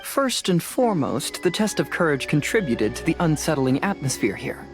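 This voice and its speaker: An actor playing a composed young detective in a video game, explaining things in a measured, articulate, confident way. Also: male voice, fairly high pitch, very animated, music in the background. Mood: analytical, assured.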